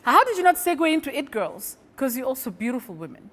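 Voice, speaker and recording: A woman in her thirties hosts a talk show, chatty and laughing as she asks her guests pointed questions. Her voice is high, and a long reverb trails after it. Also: big pitch swings, fast. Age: 30s. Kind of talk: casual chat.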